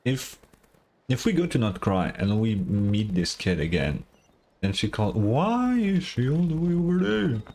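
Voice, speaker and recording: A man's voice: fairly low pitch, very animated, measured pace, a little mellow. A young man giving a casual live reaction as a gaming streamer. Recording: game music behind the voice. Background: video game music.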